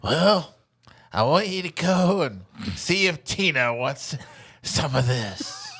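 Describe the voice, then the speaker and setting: A man acts out a bossy old grandmother from a comedy. The voice is bright and forceful, with wide pitch swings and a slowish, scolding delivery. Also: sing-song, husky, full character voice. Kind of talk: comedy script read.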